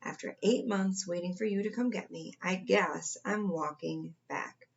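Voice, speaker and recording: A woman's voice: fairly low pitch, unhurried, vocal fry, muffled recording. A woman voicing a breezy, clueless, forgotten storybook crayon in a chatty, questioning way. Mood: reproachful. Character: forgotten neon red crayon, breezy clueless reproachful traveller.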